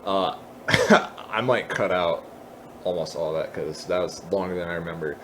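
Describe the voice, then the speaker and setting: A man presenting an unscripted software tutorial, explaining step by step and thinking aloud. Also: deep voice, moderate pace, a little hiss or hum. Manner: explanatory, thinking aloud, openly unsure at times.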